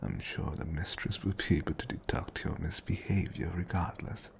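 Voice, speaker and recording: A man playing a suave, teasing butler babysitter in an ASMR roleplay, speaking formally and gently firm in a breathy, close whisper. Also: fairly even intonation, a little hiss or hum. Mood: polite. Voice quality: breathy, close whisper with creaky low tones. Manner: formal, polite, unhurried.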